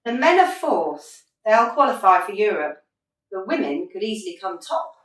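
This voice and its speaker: Radio drama: actress as middle-aged female football manager. Wry, unflappable, sardonic, lower register, roomy and off-mic. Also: big pitch swings, moderate pace, fairly bright tone, recorded from a distance. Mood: dry, defiant. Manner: dry, sardonic. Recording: roomy echo, away from the mic.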